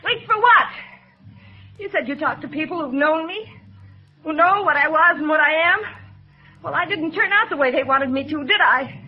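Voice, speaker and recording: A woman's voice: sits high, lively intonation, normal speed, long pauses. An actress playing a distraught, despairing young woman on the edge, with fragile, bitter delivery in 1950s radio drama style. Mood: anguished, bitter. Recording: dull lo-fi old radio recording.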